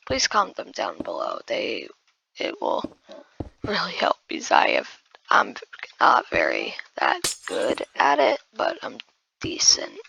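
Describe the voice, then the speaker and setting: A hoarse woman who has just woken up, giving casual gameplay commentary while building and often muttering in half-whispers to herself. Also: a bass voice, wildly swooping pitch, measured pace, pushed and bright, muffled recording, a little hiss or hum. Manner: muttering half-whispered asides to self, addressing viewers as guys. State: hoarse, losing voice after just waking up. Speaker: gaming video creator.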